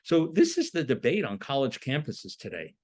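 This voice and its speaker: A man's voice: medium pitch, very animated, moderate pace. A man lecturing in an explanatory, emphatic manner, posing rhetorical questions as he goes. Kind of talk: lecture on a political topic.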